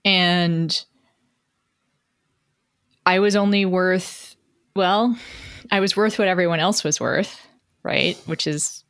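A woman's voice: on the low side, fairly even intonation, slow pace, long pauses, fairly bright tone, smooth. A middle-aged woman telling a personal story conversationally as an interview guest, reflective and self-deprecating, often checking in with "right?".

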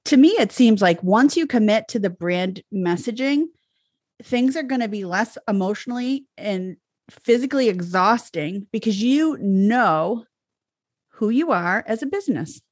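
A woman from the Boston area co-hosts a podcast, her Boston accent barely there. She chats casually, agreeing and laughing often, at a middle pitch. The sound is close and dry. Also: lively intonation, measured pace, husky, no room sound. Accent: faint Boston. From Boston area, USA. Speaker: podcast co-host. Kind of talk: casual interview chat.

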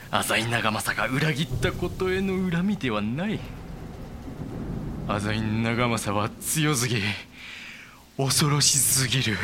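A man playing a cold, broken Japanese warlord in a period voice drama, speaking archaic lordly lines deliberately with dramatic pauses. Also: medium pitch, bright, forceful tone, slight background noise. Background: dramatic music.

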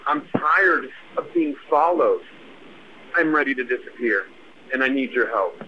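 An actor with an American accent playing a weary, paranoid aging punk rocker, speaking earnestly in halting phrases for an audio drama voicemail. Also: male voice, medium pitch, close to an everyday voice. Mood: paranoid, pleading. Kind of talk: audio fiction voicemail monologue. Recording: muffled noisy phone voicemail.

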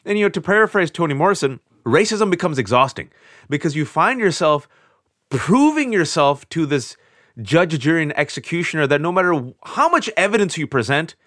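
A middle-aged man from California, a guest author on an interview podcast, telling stories with animated, sardonic shifts in his voice. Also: no room sound, clean studio sound. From California, USA. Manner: animated, sardonic storytelling with quick shifts in pitch. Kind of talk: interview conversation.

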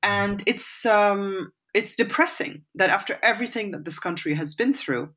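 A woman in her forties from Lebanon, speaking as an interview guest in a measured, reflective way with fillers and restarts. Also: medium pitch, lively intonation, fairly bright tone. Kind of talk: thoughtful remote interview answers.

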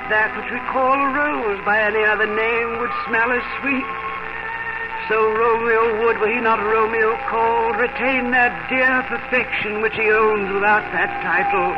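An older woman playing a wry, settled small-town mother in a 1950s radio drama, measured and gently hesitant. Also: on the high side. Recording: noisy, dull 1950s radio recording.

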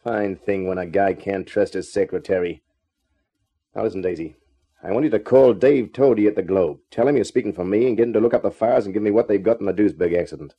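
An actor playing a wry, tough private eye who also narrates, in clipped 1950s radio detective style. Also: male voice, on the low side, quick pace, warm tone, breathy edge.

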